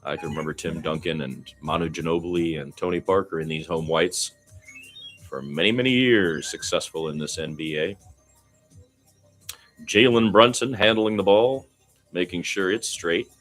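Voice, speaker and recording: A man's voice: sits low, normal speed, fairly bright tone, faint music behind. A man doing amateur radio-style basketball commentary in a conversational, folksy manner. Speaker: play-by-play commentator.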